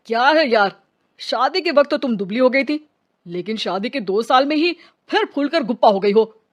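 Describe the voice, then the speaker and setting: Woman voicing scornful man in high voice. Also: expressive, normal speed. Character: male characters: gruff policemen and scornful angry men. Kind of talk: dramatised Hindi story dialogue.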